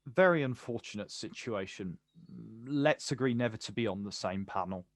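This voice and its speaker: A man acting a watchful, quietly wounded poet in a naturalistic stage play, speaking deliberately in terse, repeated phrases. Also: on the low side, lively intonation, dry recording, clean studio sound, lightly acted. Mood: wry.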